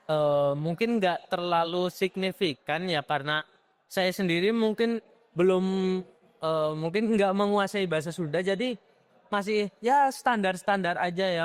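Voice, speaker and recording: A young man with a Javanese accent answers questions as a student, hesitant and full of fillers. His voice sits high for a man and sounds smooth and clear. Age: young adult. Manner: hesitant, many fillers, unsure. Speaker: student interviewee. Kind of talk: casual group interview.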